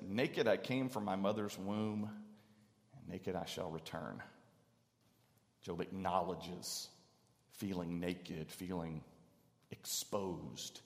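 Male preacher, echoey hall, mid-pitched voice, earnest dramatic storytelling, deliberate pauses, from Tennessee. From Tennessee, United States. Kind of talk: storytelling sermon with dramatic scripture recitation. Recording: echoey hall with long reverb.

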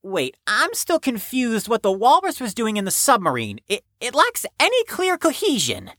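A woman's voice: fairly high pitch, wildly swooping pitch, moderate pace, fairly bright tone, no room sound, studio quality, exaggerated voice. A woman playing a formal, pedantic, earnest psychiatric patient in an audio drama, with precise, stilted, wordy speech. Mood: puzzled.

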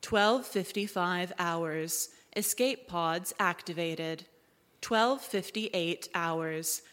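A woman playing an impassive ship's artificial intelligence in a live science fiction audio drama, reading out data logs in a slow, measured, robotic way. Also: lowish voice, fairly bright tone. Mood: flat, impassive. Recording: echoey hall, live stage.

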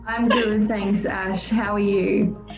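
A woman's voice: mid-range voice, little pitch movement, slow pace, gravelly. A woman recruiter giving a prepared explanatory talk on a podcast. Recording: roomy, dull lo-fi sound. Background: music.

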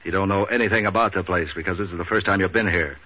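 An actor playing a street-wise, cynical hard-boiled hero with deadpan wisecracks in 1940s radio noir. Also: male voice, low voice, fast. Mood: wry, tough. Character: Pat Novak, street-wise cynical male hard-boiled boat renter. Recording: muffled, hissy old radio recording.

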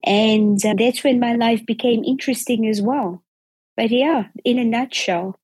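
Slow, husky-voiced woman. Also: a little high, a little mellow, dry recording. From South Africa. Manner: slow, hesitant, repeating words. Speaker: guest. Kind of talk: casual interview testimony.

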